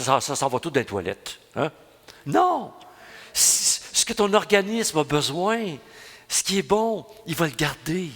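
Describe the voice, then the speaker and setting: A man's voice: on the high side, a bit bright, big-room sound. A man in his sixties with a Quebec French accent, preaching a homily at mass in a folksy, storytelling way, full of restarts and asides. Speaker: priest.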